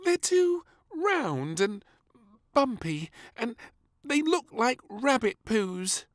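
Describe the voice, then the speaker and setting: A man voicing a fussy, gleeful little girl princess in a children's storybook, with whiny, drawn-out, exaggerated delivery. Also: very high voice, very animated, no room sound, studio quality, pitched above the performer's own voice, heavily acted. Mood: whiny, disgusted. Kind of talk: read-aloud children's storybook.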